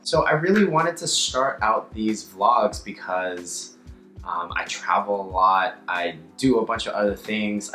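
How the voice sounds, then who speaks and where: Hoarse young guy vlogging, bit low, playful and upbeat, echoey room. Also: pushed and bright. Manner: upbeat, playful, self-mocking. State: hoarse, voice a little raspy. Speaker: yoga teacher. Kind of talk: casual vlog chat.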